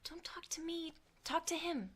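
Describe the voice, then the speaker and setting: A woman's voice: very high voice, moderate pace, a bit bright. A woman playing an impatient, bossy young student who leads a candlelit seance in an audio drama, speaking curtly. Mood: curt, instructing. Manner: curt, bossy, exasperated.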